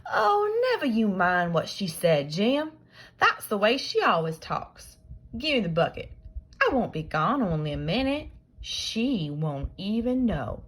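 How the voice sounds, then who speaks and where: A woman's voice: medium pitch, sing-song, measured pace, fairly bright tone, slightly rough, pitched above the performer's own voice, heavily acted, low-quality recording. A woman doing a wheedling young boy. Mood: coaxing. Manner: coaxing, wheedling, boastful. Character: mischievous scheming young boy.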